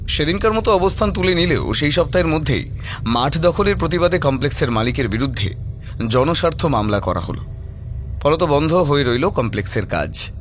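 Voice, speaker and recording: Male horror story narrator over faint music. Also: fairly high pitch.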